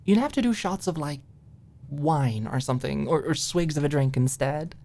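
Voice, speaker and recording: A woman's voice: low voice, lively intonation, moderate pace, mellow. A woman voicing an easygoing, teasing young college roommate in an audiobook, with casual, wry delivery. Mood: wry, amused. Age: young adult.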